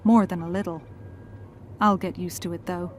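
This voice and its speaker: Woman as tired, gently wry mother for audio drama; ambient noise behind. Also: fairly low pitch, fairly even intonation, normal speed, close to an everyday voice. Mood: weary, wry. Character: tired mother, displaced in time, wry and tender.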